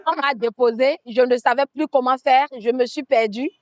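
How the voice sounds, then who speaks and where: A woman's voice: high-pitched, normal speed, no echo. A woman from Benin, telling her story earnestly in an interview and repeating key phrases for emphasis. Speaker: guest, activist and coach. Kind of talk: conversational storytelling.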